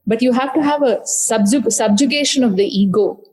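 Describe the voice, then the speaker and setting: A woman author, talking through her book in a thoughtful, halting way with many fillers and restarts. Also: on the high side, darkish tone, breathy edge. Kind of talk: conversational book discussion.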